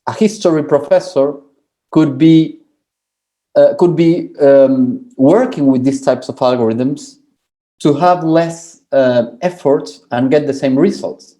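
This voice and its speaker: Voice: medium pitch, mellow. A speaker from Argentina gives an academic presentation, often restarting and repeating words while explaining with examples. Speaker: forum speaker.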